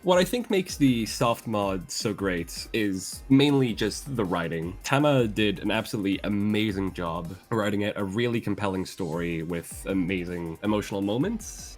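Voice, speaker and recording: A young man with a fairly low voice speaks as a guest in a casual interview. His talk is informal and earnest, with an enthusiastic way of explaining things. Music plays softly behind him. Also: moderate pace, few pauses.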